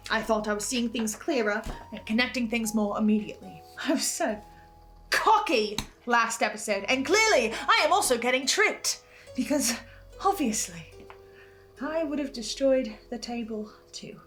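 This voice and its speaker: A woman's voice: a little high, animated, normal speed, a bit bright, faint music behind. A woman narrating a scripted analysis as host, speaking earnestly and theatrically with precise wording. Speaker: host of a fan theory video series.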